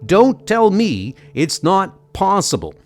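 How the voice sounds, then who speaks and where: Young male superhero, played by a man for comic dub: brash, emphatic, lively pitch, music underneath. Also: slow, heavily acted. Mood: exasperated, defiant. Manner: excitable, emphatic. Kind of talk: comic-book audio drama dub. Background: loud dramatic music.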